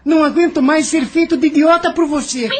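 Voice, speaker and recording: Woman acting as lovestruck young man, high voice, pushy, impassioned, Brazilian accent, audio drama. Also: fairly even intonation, a little fast, lightly acted. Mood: aggressive, insistent. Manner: insistent, impassioned.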